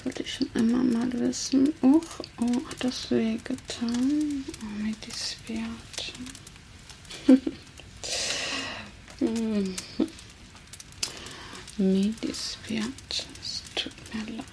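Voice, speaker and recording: A woman voicing a teasing, menacing female vampire captor in a soft, slow ASMR whisper. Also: a little high, steady tone, creaky, heavily acted. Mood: mock sweet. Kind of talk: ASMR roleplay. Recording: noisy hiss under close whisper.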